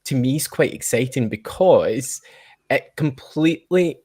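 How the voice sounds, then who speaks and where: A man's voice: medium pitch, animated, a little slow, mellow. Male podcast host, middle register, conversational interview style, often rephrases mid-thought. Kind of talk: casual interview chat.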